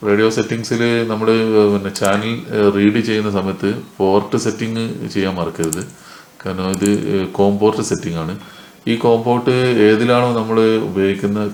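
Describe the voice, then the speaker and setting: A man with a Malayali accent gives an unscripted technical tutorial in an instructional way, mixing in English technical terms. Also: sits low. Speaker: tech tutorial presenter. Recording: echoey room with faint noise.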